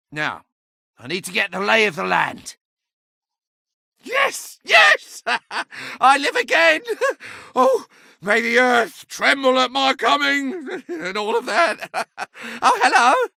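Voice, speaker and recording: An actor voicing an ancient, talkative magical staff in a video game, sardonic, pompous and bawdy, with a theatrical delivery. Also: male voice, extremely high pitch, creaky, pushed and bright, dry recording, clean studio sound, exaggerated voice. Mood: chipper, scheming, then gleeful triumph. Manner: sardonic, pompous, chatty, given to chuckling. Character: impish, talkative, bawdy ancient sentient staff, boastful adviser.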